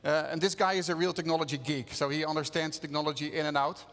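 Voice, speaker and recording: Man, Dutch accent, fairly high voice, long hall reverb, conference talk to an audience. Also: level tone, quick pace, fairly bright tone. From Netherlands. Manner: conversational, engages audience with questions. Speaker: conference speaker. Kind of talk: conference keynote presentation. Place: conference venue stage.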